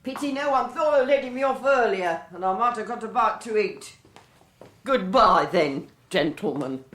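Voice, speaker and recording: An actress as a rough-diamond servant woman, sardonic and grumbling. The sound is roomy, as in a staged radio drama scene. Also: medium pitch, expressive, normal speed, fairly bright tone, close to an everyday voice. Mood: sarcastic. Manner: sardonic, aggrieved.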